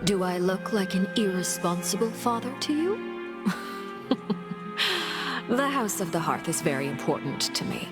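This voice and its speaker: A woman voicing a poised, menacingly courteous noblewoman in a video game, speaking formally in measured, deliberate phrases. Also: medium pitch, expressive, music in the background, close to an everyday voice. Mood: calm, sincere.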